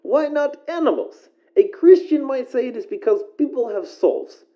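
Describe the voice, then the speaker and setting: Paranormal radio host: female, theatrical and musing, very animated delivery, dull lo-fi sound. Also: high voice, normal speed. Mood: intrigued, musing. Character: female host of an uncanny late-night radio show. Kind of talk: scripted radio show presenting.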